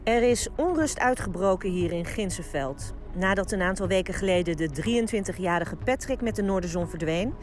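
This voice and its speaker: A woman's voice: medium pitch, expressive, moderate pace, soft background music, natural voice. A woman playing a serious, composed local news reporter reading a scripted report in an audio drama.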